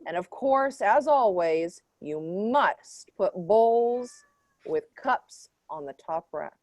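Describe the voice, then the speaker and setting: A woman plays a smug, defensive female researcher in an improvised sketch debate. She lectures in a halting, emphatic way, at a middling pitch, and the sound is dry and close. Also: lively intonation, darkish tone, no echo. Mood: pedantic. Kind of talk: improvised mock panel debate.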